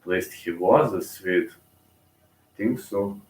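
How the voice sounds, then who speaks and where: A man's voice: low-pitched, slow, darkish tone, distant mic. Bulgarian man, casual fight commentary, loose and rambling, roomy echo. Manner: rambling, thinks aloud with many fillers and restarts.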